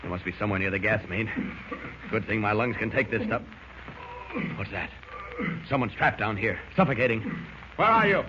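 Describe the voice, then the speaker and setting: An actor playing a heroic, resolute superhero, urgently thinking aloud in 1940s radio serial style. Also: male voice, on the low side, animated, moderate pace, pauses often, lightly acted. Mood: urgent, reassuring. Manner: dramatic, urgent self-narration. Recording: noisy, dull old radio recording.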